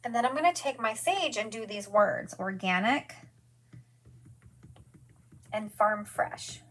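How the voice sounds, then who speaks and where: A woman's voice: medium pitch, expressive, slow, a bit bright, a little hiss or hum, poor audio. A woman in her forties hosting a live craft demonstration from her studio, chatty and gushing, greeting viewers by name as she works.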